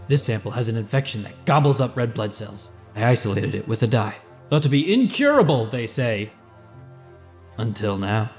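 A man's voice: lowish voice, very animated, moderate pace, darkish tone, faint music behind. An actor playing an eccentric, boastful inventor in his thirties, glib and theatrical, in an audio drama. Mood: showy, eager. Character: eccentric thirty-five-year-old male inventor, mad scientist, boastful.

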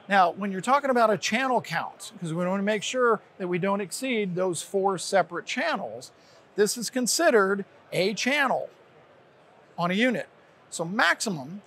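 An American man in his sixties with a husky voice, presenting a product to camera in an unscripted, explanatory, conversational way. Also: high voice. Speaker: product presenter, company representative. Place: large indoor trade show hall, at a booth.

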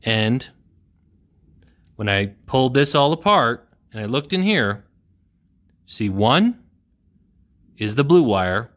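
A man's voice: mid-range voice, very animated, slow pace, pauses often, a bit bright. A man in his thirties hosting a casual how-to repair demonstration in an indoor workshop, talking in a plain-spoken, self-deprecating way. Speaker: welding video host. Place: indoor workshop with corrugated metal wall.

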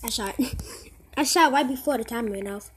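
A teenager giving casual, excitable commentary while playing a mobile game, repeating short phrases and calling out to other players. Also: mid-range voice, normal speed, very noisy. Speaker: gamer. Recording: game music loud behind voice.

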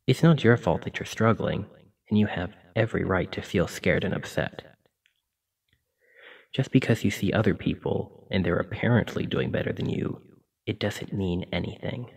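Guy as a kindly sleep paralysis demon, low husky voice, soft encouraging asmr chat, bit away from the mic. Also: normal speed, far from the mic, exaggerated voice. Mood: reassuring. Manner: gentle, reassuring, informal. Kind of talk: ASMR roleplay, soft reassuring monologue.

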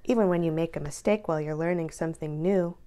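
A woman reading a children's book aloud in an expressive, deliberate way, drawing out key words for emphasis. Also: low voice.

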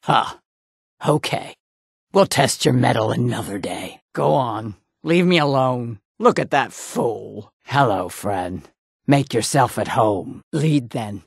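A woman voicing a gruff, proud female orc warrior in a video game, blunt and menacing, with a gravelly, husky voice. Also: rumbling low, lively intonation, slow pace, no room sound, clean studio sound, full character voice. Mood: blunt, curt. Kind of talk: video game character voice lines.

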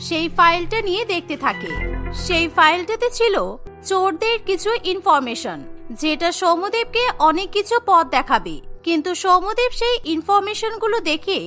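A woman's voice: very high voice, animated, moderate pace, bright, forceful tone, soft background music. A woman narrating a scripted cartoon story in a storytelling manner.

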